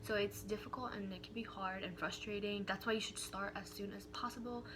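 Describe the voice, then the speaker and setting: A woman's voice: medium pitch, steady tone, fairly quick. A young woman student giving a candid, casual account, with frequent filler words. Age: young adult. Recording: noticeable background noise.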